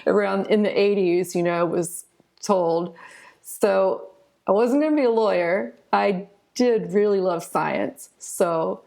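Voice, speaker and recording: Interview guest: American woman, forties, gravelly fry in the voice, informal and candid storyteller. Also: mid-range voice, slow, darkish tone. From southern Ohio, United States. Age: 40s. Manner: informal, candid, often adds "you know". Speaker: interview guest, retired physician turned author. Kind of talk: conversational personal storytelling.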